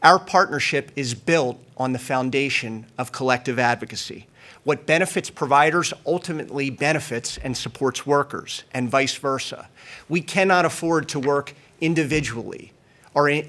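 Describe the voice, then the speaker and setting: A man speaks as the host of a press conference, delivering prepared remarks. His delivery is formal and emphatic, like an association leader addressing officials, with a mid-pitched voice. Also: normal speed, bright, forceful tone.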